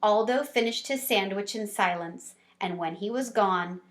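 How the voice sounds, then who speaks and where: A woman reading a children's book aloud as a storyteller, in an expressive teacherly way. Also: mid-range voice, measured pace.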